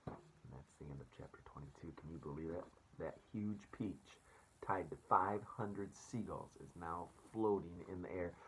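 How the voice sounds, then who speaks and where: A man's voice: lowish voice, slight background noise, low-quality recording. A man reading a storybook aloud as a teacher, expressively, and addressing children casually.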